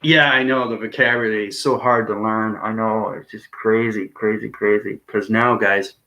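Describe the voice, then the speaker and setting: Guy rambling on about cosmic stuff, low voice, repeats himself a lot. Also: steady tone, moderate pace, pushed and bright, muffled recording. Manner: rambling, repetitive, laughs at himself. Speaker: video host. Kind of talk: rambling cosmic monologue to viewers.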